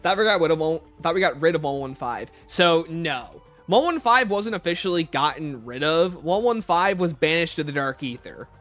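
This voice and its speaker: Animated higher-voiced man hosting a livestream. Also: normal speed, few pauses, faint music behind. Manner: conversational, breaks off to greet and thank viewers. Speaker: livestream host. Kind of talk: casual livestream Q&A, reading and answering chat questions.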